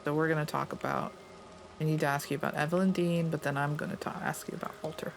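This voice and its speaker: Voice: medium pitch, flat delivery, fast talker, creaky, slight background noise. A let's play gamer casually commenting and muttering asides while reading out questions.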